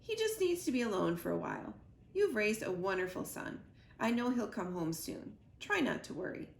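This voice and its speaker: A woman's voice: mid-range voice, big pitch swings, moderate pace, gravelly. A woman voicing a kindly adult goose in a children's storybook, casual, knowing and reassuring. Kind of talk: children's storybook read-aloud. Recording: roomy echo, noticeable background noise.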